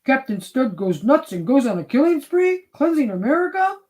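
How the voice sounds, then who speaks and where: A woman's voice: high-pitched, big pitch swings, normal speed, darkish tone. A woman playing an exasperated, accusing studio colleague in a dramatic story reading. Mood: angry, stern. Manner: stern, accusatory.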